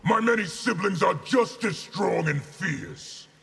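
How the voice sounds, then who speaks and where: An actor playing a fierce otherworldly general in a video game, with a gravelly voice and a scornful, menacing delivery. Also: male voice, high-pitched, lively intonation, takes it slowly, fairly bright tone, heavily acted. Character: brutal, fierce male otherworldly warlord general, contemptuous. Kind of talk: video game villain banter.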